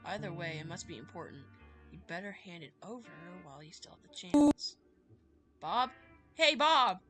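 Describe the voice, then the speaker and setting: A woman's voice: on the low side, animated, normal speed, fairly bright tone. A woman livestreamer reading a visual novel aloud, narrating dramatically and breaking off into playful asides. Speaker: streamer. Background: prominent music with plucked guitar.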